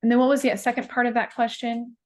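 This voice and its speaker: A woman poet talking in a casual interview, thoughtful and halting, with many fillers and restarts. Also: a little high, flat delivery, a little mellow. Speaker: guest poet.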